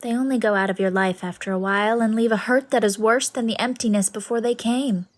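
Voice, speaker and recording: A woman playing a tender, fanciful ageing spinster with an expressive manner in a dramatised audiobook. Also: on the high side, a little fast, lightly acted. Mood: wistful. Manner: wistful, expressive.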